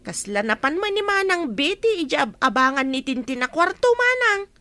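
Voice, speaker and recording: A woman's voice: high-pitched, big pitch swings, normal speed, sharp tone, no room sound, full character voice. A woman playing a concerned, helpful younger village neighbour in a radio drama.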